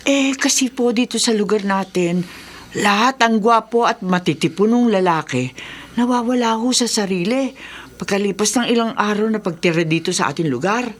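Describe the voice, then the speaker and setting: A woman's voice: mid-range voice, normal speed, lightly acted. A woman with a Filipino accent playing a deferential, superstitious housemaid in a radio drama. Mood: ominous, insistent. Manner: respectful, insistent storytelling. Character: superstitious, deferential neighborhood housemaid, a mother, earnest gossip.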